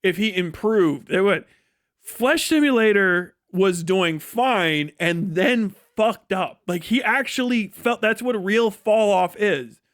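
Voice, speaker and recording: American man, slightly high voice, dry close sound, casual livestream rant, blunt, profane, opinionated. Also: slow, a bit bright, dry recording. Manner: blunt, opinionated, sweary ranting. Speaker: livestream host.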